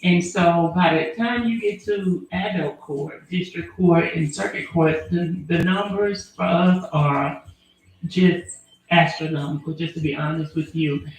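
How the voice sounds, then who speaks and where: A woman attorney, answering interview questions in an earnest, measured way with frequent fillers. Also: on the low side, steady tone. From Alabama, US. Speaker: attorney and former prosecutor. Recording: very echoey room.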